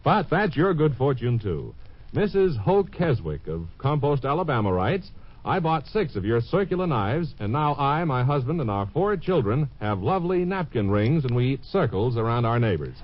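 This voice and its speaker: A man playing a brash bargain pitchman with emphatic, deadpan salesmanship in a 1950s radio ad parody. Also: moderate pace. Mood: mock-earnest, emphatic. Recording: muffled 1950s radio broadcast.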